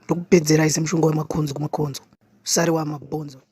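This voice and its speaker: A man acts out an aggrieved young cartoon rapper with a Zimbabwean accent. He swaggers in a husky, slightly high voice and talks quickly, full of boast and protest. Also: level tone, dark tone, no echo. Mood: defiant. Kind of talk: cartoon parody press statement.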